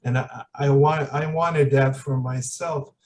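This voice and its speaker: A man's voice: mid-range voice, fairly even intonation, unhurried, a little mellow, recorded from a distance, slight background noise. A man hosting a podcast in casual, reflective conversation, hesitant and searching for his words. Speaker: podcast host.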